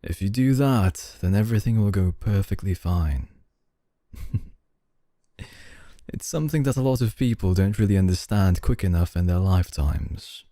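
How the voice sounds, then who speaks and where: A male actor as a suave young boss in an ASMR roleplay, with a very dark, mellow, low voice. He speaks slowly and softly, calm and formal, with quiet dominance, recorded close and dry. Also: no room sound, lightly acted. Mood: calm, authoritative. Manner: slow, deliberate, smooth formal courtesy, soft chuckles.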